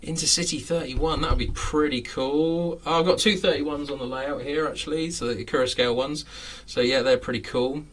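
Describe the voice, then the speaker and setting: A man's voice: fairly high pitch, steady tone, a little fast, hardly any pauses, a bit bright, recorded from a distance, slight background noise. A man in his late thirties, hosting a casual live chat from his home model railway room, rambling with lots of fillers and frequent chuckles. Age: 30s.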